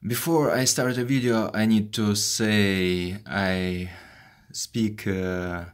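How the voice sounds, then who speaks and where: A Russian man in his twenties, a native Russian speaker telling his own story in English, haltingly, with many fillers and repeated words. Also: lowish voice, steady tone. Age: 20s. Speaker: vlogger. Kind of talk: personal story to camera.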